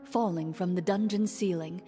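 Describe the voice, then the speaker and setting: A woman playing a solemn game master, narrating a scripted fantasy quest in a measured, descriptive, theatrical way. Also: on the low side, steady tone, dark tone, close to an everyday voice. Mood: tense, atmospheric. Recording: long hall reverb, music behind.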